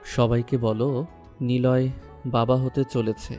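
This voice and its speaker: A man playing a brooding, remorseful young man in love in an audio romance drama, speaking slowly and heavily over music. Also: mid-range voice, flat delivery, dark tone, natural voice. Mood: choked up, commanding. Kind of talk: Bengali audio story romance acting. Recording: music bed behind the voice.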